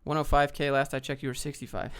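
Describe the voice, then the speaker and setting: A man's voice: on the high side, flat delivery, brisk, a bit bright, clean tone. A man from the United States hosting a livestream, chatting off the cuff in a blunt, slangy way as he reads out questions and answers them. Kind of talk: casual off-the-cuff Q&A chat, reading questions aloud.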